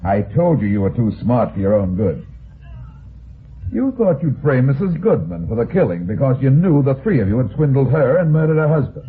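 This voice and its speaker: An actor playing a tough, self-assured private detective in an old-time radio drama, with a slangy hard-boiled delivery. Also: male voice, medium pitch, very animated, normal speed, natural voice. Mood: accusing, taunting. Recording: noisy, narrow-band old radio recording.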